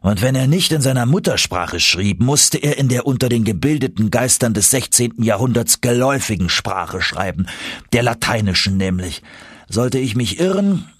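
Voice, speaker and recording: A man voicing an excitable older professor uncle in a German audiobook, emphatic and commanding. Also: medium pitch, husky, no echo, clean studio sound, voice pushed up. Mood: eager, certain. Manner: emphatic, triumphant exclamations and brisk orders.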